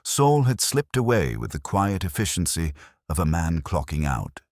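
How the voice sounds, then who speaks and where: A man's voice: low voice, sing-song, normal speed, darkish tone, no room sound, clean studio sound. A man reading a scripted first-person detective story as an audiobook narrator.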